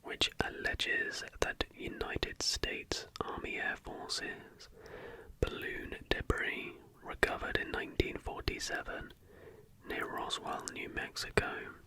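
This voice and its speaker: Slow, hushed ASMR whisper. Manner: whispered, slow, unhurried delivery. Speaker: host of an ASMR channel. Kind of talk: ASMR, softly whispered reading of facts with casual asides.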